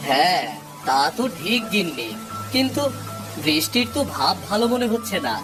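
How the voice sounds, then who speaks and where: A man voicing a grumbling, fretful village husband and father in a cartoon, expressive and homely. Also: high voice, moderate pace, music in the background. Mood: chatty, fretful. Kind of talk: Bengali moral-story cartoon voice acting.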